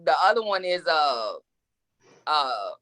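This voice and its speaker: A woman's voice: low voice, sing-song, slow pace, a bit bright, poor audio. A woman in her forties, a guest author in a casual interview, speaking reflectively and with emphasis, often repeating words as she thinks. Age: 40s.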